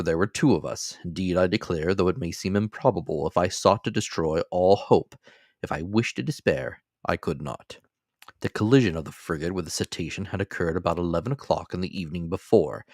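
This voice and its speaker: A man's voice: sits low, normal speed, a little mellow, no room sound, studio quality. A man reading classic fiction as a dramatic, scripted audiobook narration. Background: faint music.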